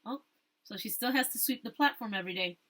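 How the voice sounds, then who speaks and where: A woman teacher reading a storybook aloud, rereading stumbled phrases and pausing to explain things to her listeners. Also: on the high side, fairly bright tone.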